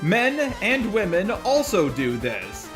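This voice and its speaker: Man with lively, higher-pitched voice. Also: slow pace, over music. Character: persona of a robot. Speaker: host. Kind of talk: scripted video commentary.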